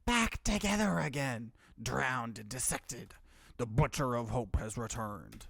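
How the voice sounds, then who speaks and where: A man voicing bitter, accusing spirits of the dead in a horror visual novel, slow and ominous. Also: medium pitch, lively intonation, vocal fry, fairly bright tone, no room sound, exaggerated voice.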